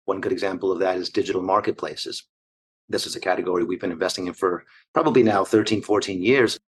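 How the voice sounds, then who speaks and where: A man in his forties from Argentina, answering interview questions as an investor in long, measured, structured lists. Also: fairly low pitch, husky. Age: 40s. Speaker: investor guest.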